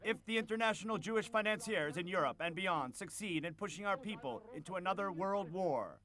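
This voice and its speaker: A man's voice: high voice, animated, brisk, fairly bright tone. A man voicing a menacing, fanatical political orator in a translated speech, declamatory and emphatic. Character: menacing, fanatical male fascist orator addressing comrades.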